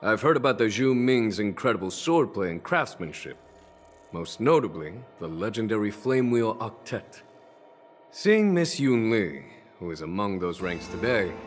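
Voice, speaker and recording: An actor playing a composed, commanding general in a video game, formal and diplomatic in manner. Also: male voice, lowish voice. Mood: amused, courteous.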